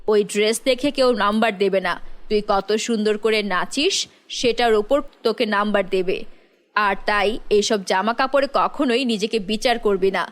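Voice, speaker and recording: A woman's voice: mid-range voice, moderate pace, voice pushed down, lightly acted. Woman playing a firm, sensible cartoon mother. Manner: firm, admonishing. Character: sensible, strict mother advising her daughter. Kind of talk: children's cartoon storytelling.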